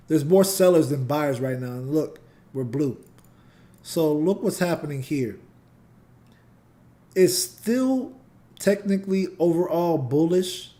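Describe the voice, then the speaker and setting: A man with a slightly high yet mellow, warm voice talks through market charts as a live host. He keeps it loose and conversational, addressing his chat directly. His voice has a slight scratchiness to it. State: voice getting scratchy. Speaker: trading channel host and teacher. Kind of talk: casual live chart analysis.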